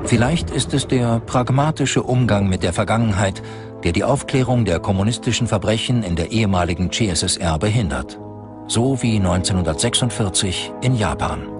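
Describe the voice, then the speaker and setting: A man's voice: lowish voice, lively intonation, moderate pace, over music. A man reading scripted documentary narration in a sober, matter-of-fact manner.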